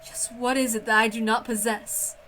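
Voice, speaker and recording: A woman's voice: a little high, little pitch movement, normal speed, pushed and bright, a little hiss or hum. A woman voicing a proud, lonely witch in a story, imperious and petulant. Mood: frustrated, petulant. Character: proud, lonely, frustrated female golden witch.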